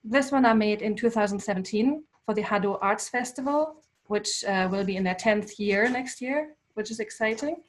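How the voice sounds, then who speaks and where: A woman whose first language is German, giving a thoughtful presentation about her artwork to a small gathering, with frequent pauses and filler words. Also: mid-range voice. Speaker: artist giving a talk. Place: local climate cafe event.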